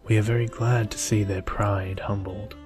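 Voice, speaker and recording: Gossiping village folk from a bedtime storybook, voiced by a man, opinionated yet softly spoken. The delivery is measured and very even in pitch, slightly low, with quiet music underneath. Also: close to an everyday voice. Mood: quietly scornful. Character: gossiping townsfolk, scornful of the proud, fond of Beauty.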